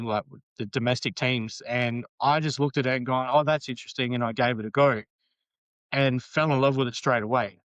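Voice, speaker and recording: A man's voice: mid-range voice, a bit bright, clean tone, no echo. A man in his late thirties with an Australian accent, chatting casually as a podcast guest, rambling with frequent ums and restarts. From Western Australia. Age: 30s.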